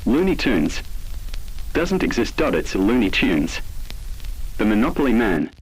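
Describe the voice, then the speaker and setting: A man with a fairly low, noticeably husky voice narrates a scripted horror piece. His delivery is solemn and deliberate, with an ominous tone. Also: very animated, rough recording.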